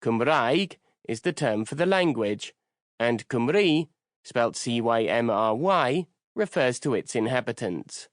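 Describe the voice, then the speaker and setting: A man narrating a nonfiction audiobook in the first person, reading in a measured, clear way on a close studio microphone. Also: medium pitch, animated, dry recording.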